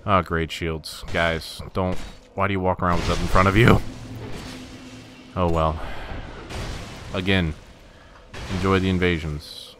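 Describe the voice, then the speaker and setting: A man with a low, deep voice talks through his gameplay in a relaxed, casual way. He is wry and self-deprecating, and he sometimes stammers over his words. Faint ambient noise sits behind him. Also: a little slow, long pauses. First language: not Russian. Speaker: gaming commentator.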